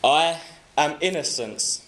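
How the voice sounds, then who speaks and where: A woman playing an accused soul who flatly insists she is innocent. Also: low voice, slow, slight background noise. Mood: protesting.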